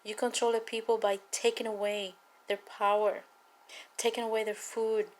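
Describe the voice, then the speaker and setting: A woman from Cuba with a Cuban accent, a native Spanish speaker, giving an earnest, emphatic personal testimony and political appeal with deliberate repetition. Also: a little high, little pitch movement. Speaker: political activist speaking to viewers.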